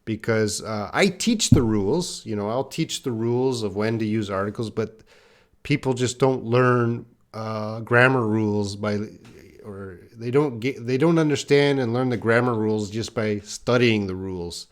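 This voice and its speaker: A man's voice: lowish voice, normal speed. A middle-aged man teaching a live online English class, answering learners' comments in a plain-spoken way and addressing them by name.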